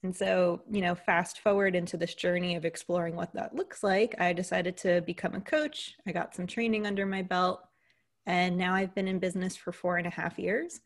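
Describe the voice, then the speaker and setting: A woman's voice: fairly low pitch, fairly even intonation, moderate pace. A young American woman, a leadership coach, telling her story earnestly in a casual interview, with fillers along the way. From United States.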